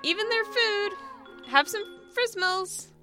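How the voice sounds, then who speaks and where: A woman's voice: very high voice, slow, music in the background, voice pushed up. A woman voicing a fussy, anxious male alien who pities his friend effusively in a story reading. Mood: gushing, teasing. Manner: effusive, solicitous, overdramatic.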